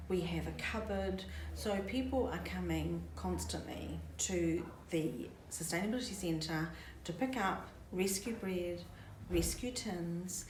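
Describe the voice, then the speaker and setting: A woman with a fairly low voice explains things in a measured, earnest way. She is recorded from a distance in an echoey room. Faint noise runs underneath. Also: darkish tone. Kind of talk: documentary interview.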